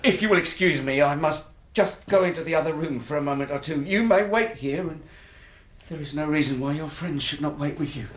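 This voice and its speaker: An actor in a radio drama playing a distraught, terrified businessman, halting and stammering but formally polite. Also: on the high side, lightly acted. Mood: shaken, courteous. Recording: roomy, echoing interior.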